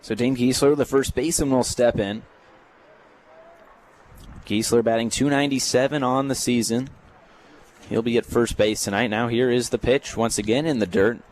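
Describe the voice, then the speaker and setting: A man's voice: medium pitch, fairly even intonation, moderate pace, pauses often. An American man doing live radio play-by-play in short, clipped announcer phrases, as a sportscaster. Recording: noisy live stadium radio broadcast. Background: stadium ambience.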